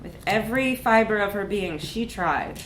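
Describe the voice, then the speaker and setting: A woman reads a comic short story at a live literary event in an echoey room with faint background noise. Her delivery is expressive, leaning on words for dramatic emphasis. Her voice sits at an ordinary female pitch. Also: moderate pace.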